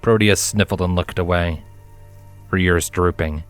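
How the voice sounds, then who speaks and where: Narrator: man, deep register, solemn measured storytelling, faint music underneath. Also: steady tone, clear, resonant tone. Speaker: fan fiction reader, narrator. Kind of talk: dramatic scripted narration. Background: background music.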